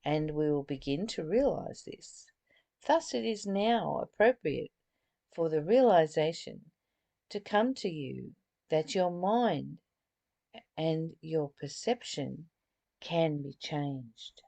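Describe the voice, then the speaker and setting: A woman leads an informal spiritual study in a low voice with noticeable fry. She takes her time, moving between deliberate reading and chatty commentary. The sound is close and dry. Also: expressive, mellow, no room sound. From Australia. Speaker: spiritual study host reading and explaining a book.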